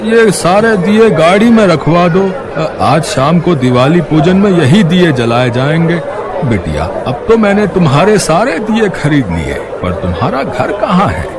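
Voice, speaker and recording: A man voicing a kindly, jovial, wealthy old gentleman in a storybook tale, with an indulgent, drawn-out delivery. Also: on the high side, big pitch swings, over a noisy crowd, voice pushed up.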